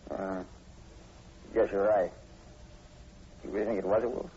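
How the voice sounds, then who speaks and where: An actor playing a blunt, practical small-town American police sergeant in an old-time radio drama, with folksy, plain-spoken delivery. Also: male voice, lowish voice, fairly even intonation, measured pace, warm tone, lightly acted. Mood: unsure. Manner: matter-of-fact, folksy, blunt. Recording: dull, noisy old radio recording.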